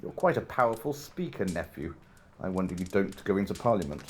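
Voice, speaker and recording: Over noticeable background noise comes a man playing a miserable old miser in an audio drama. The grating voice sits low and swings widely, curt and full of cold scorn. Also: animated, fairly quick, a little mellow. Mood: curt, mocking. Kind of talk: audio drama acting of Victorian dialogue.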